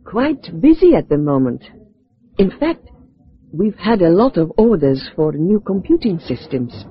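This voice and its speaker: A woman's voice: fairly low pitch, sing-song, unhurried, husky, dry recording. A woman speaking matter-of-factly in a scripted course dialogue. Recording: dull lo-fi dry tape recording.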